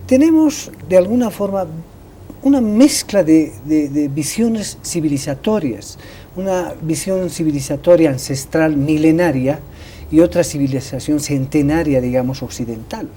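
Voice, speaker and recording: A woman's voice: sits low, normal speed, dark tone, slight background noise. A woman with a Bolivian Spanish accent, hosting an interview in a conversational way and feeling her way through her questions. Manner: hesitant, reformulating questions. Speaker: interview host.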